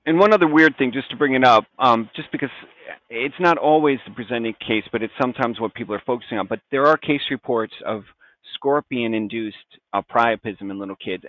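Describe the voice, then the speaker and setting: A man's voice: mid-range voice, no room sound. A middle-aged man, a physician guest explaining medicine conversationally, with folksy, informal phrasing mixed into technical jargon. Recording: phone-like, narrow-band audio.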